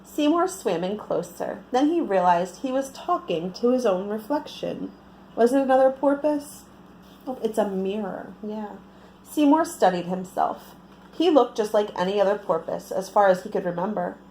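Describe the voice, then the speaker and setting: A woman reading a picture book aloud to young children, expressive and often putting questions to her listeners. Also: medium pitch, moderate pace, slight background noise, poor audio. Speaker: children's storyteller.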